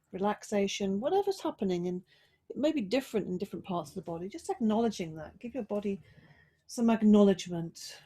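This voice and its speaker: Woman guiding a slow, mindful relaxation. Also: medium pitch. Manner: slow, mindful, gently coaxing instructions with pauses. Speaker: yoga teacher. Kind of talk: guided relaxation and body check-in.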